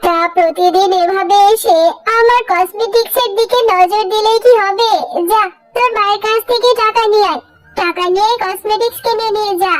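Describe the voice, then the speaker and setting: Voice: very high voice, fairly even intonation, normal speed. An actor voicing a greedy, snobbish female jackal shopkeeper, dismissive in manner, in a cartoon story with music behind. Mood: taunting. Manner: snobbish, dismissive sales talk. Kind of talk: Bengali cartoon story dubbing.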